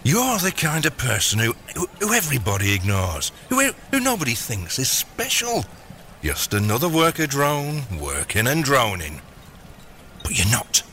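Megalomaniac doting father played by a man, bright and wildly animated, wheedling, theatrical, comedy radio play. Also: medium pitch, moderate pace, faint music behind, heavily acted. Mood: fervent. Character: gleeful megalomaniac villain, doting scheming father. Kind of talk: scripted audio comedy drama.

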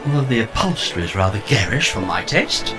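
A man voicing a polite, fussy, literal-minded companion pod in an audio comedy drama, measured and prim. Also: on the low side, big pitch swings. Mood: prim, earnest. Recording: music under the voice.

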